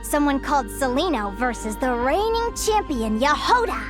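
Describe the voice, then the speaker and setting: A woman voicing an earnest, passionate young girl competitor in a video game, with a girlish, expressive delivery. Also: high voice, normal speed, music in the background. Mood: intrigued, surprised. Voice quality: girlish, youthful. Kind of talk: animated video game voice acting.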